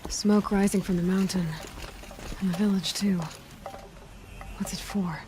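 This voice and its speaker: A woman's voice: mid-range voice, flat delivery, unhurried, mellow, slight background noise, lightly acted. A young woman playing a dry-witted, observant huntress adventurer in a video game, speaking in an understated, musing way. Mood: wry, musing. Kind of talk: video game character dialogue.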